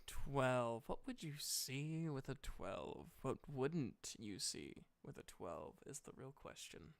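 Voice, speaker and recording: A man running a tabletop game as game master, narrating deliberately and haltingly and chatting with players. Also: mid-range voice, big pitch swings, vocal fry.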